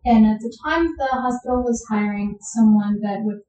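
A woman from Colombia, a health educator, answering interview questions in a measured, thoughtful way with many pauses. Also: on the high side, steady tone, mellow, a little hiss or hum. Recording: dull, echoey, distant sound.

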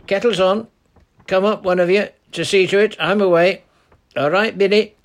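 A man's voice: on the high side, expressive, moderate pace, pitched above the performer's own voice. A man voicing a kindly old charcoal burner with a northern English dialect, homely and plain-spoken. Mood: shouting.

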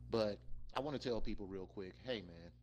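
A man co-hosting a casual chat show, speaking in slangy, expressive, exclamatory bursts. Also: fairly low pitch, moderate pace. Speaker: podcast co-host. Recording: noticeable background noise with hum.

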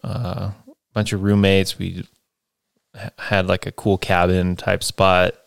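Relaxed young man, rambling reflective talk. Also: deep voice, slow pace, creaky, clean tone, dry recording, clean studio sound. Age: 20s. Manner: rambling, reflective, lots of fillers and tag questions. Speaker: podcast host. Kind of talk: casual solo podcast monologue.

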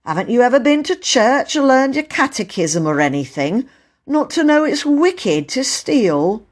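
A woman acts out a gruff man in charge of a railway station, from a storybook. He scolds firmly in old-fashioned phrases, with kindness underneath. His delivery swings widely in pitch, lifted well above the narration. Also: sing-song, measured pace. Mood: firm, kindly. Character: gruff adult male station master, stern but softening, kindly. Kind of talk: storybook character voice.

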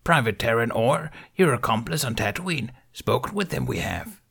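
A man voicing a stern, ancient little alien master who speaks in inverted word order with an altered, croaky tone in an audiobook reading. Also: mid-range voice, animated, normal speed, fairly bright tone, heavily acted. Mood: severe. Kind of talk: audiobook reading of fan fiction.

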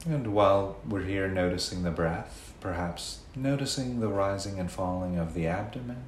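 A man leading a guided meditation in a gentle, unhurried way, leaving long pauses between phrases. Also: sits low. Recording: roomy echo, faint background noise.

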